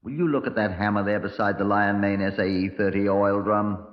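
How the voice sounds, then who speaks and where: An actor playing a brusque, sardonic Scotland Yard chief inspector in a 1950s radio crime drama. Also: male voice, on the low side, steady tone, brisk, natural voice. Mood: brusque, impatient. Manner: brusque, dry, sarcastic. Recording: dull, lo-fi 1950s radio recording.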